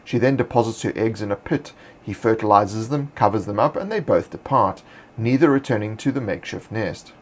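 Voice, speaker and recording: A man hosting, reading fantasy monster lore in a measured, scripted narration. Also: lowish voice, lively intonation. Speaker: host.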